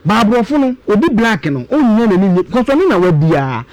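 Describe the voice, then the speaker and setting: Animated, high-pitched Ghanaian man, emphatic. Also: moderate pace, dry recording, rough recording. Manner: emphatic, repeats points, switches between English and Twi. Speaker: guest analyst. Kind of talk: animated explanatory radio discussion.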